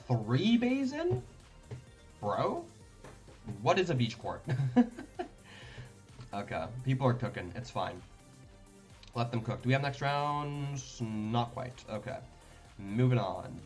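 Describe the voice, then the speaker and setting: A young man from Denmark streaming a card game, thinking aloud in casual commentary with lots of fillers and restarts. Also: mid-range voice.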